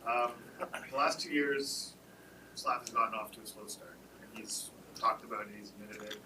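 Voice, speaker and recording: A male reporter asking a question casually, with soft, breathy stretches. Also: medium pitch, measured pace, distant mic, noisy background.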